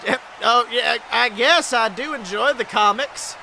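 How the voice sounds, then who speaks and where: A man playing a folksy, hyped wrestling announcer with a drawn-out showman delivery. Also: very high-pitched, gravelly, bright, forceful tone, slight background noise, full character voice. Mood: chummy. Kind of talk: wrestling show commentary.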